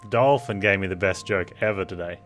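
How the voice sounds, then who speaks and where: A man's voice: sits low, a little fast, a bit bright, faint music behind. A man streaming a game, chatting casually in a rambling, offhand way. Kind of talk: casual livestream chat.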